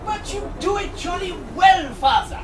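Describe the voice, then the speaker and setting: A woman's voice: extremely high pitch, expressive, unhurried. A woman playing an earnest, polite, exasperated young prince in an amateur pantomime, declaiming in a theatrical way. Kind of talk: amateur pantomime stage acting. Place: amateur show in a pub, with audience. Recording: echoey noisy room, distant mic, audience.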